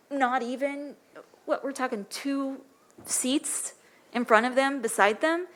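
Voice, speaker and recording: Soft-spoken woman at a podium. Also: fairly high pitch. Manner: earnest, impassioned. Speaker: public commenter. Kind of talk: public comment at council meeting. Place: city council chamber podium.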